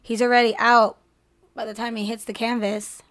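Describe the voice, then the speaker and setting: A woman's voice: on the high side, little pitch movement, normal speed, a bit bright. A woman in a casual podcast chat, speaking bluntly and firmly about what she thinks. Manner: blunt, opinionated, firm.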